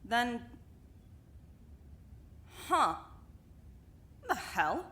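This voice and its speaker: A woman voices a blunt, suspicious young woman who questions the group in a fan-made audio drama. Her voice is bright and fairly high, her delivery swings up and down lively, and she talks casually and swears without a second thought. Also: very slow, exaggerated voice.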